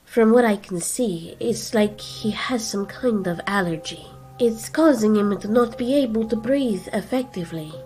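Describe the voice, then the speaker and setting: A woman voicing a gentle female monster in a storytelling, soft-spoken, hesitant and earnest. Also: mid-range voice, normal speed, soft background music, pitched above the performer's own voice, natural voice, poor audio.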